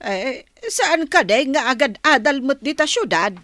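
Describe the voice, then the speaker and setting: A woman's voice: high voice, animated, a little slow, sharp tone, no echo, studio quality, heavily acted. An actress with a Filipino accent playing a concerned, chatty mother asking questions in a radio drama. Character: concerned mother on a phone call.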